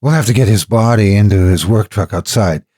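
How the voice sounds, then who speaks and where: A man's voice: lowish voice, fairly quick, darkish tone, no room sound, clean studio sound, close to an everyday voice. A man voicing a horrified, protective husband in a horror story, over music. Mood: urgent, anxious. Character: horrified, protective husband, panicking at his wife's violence.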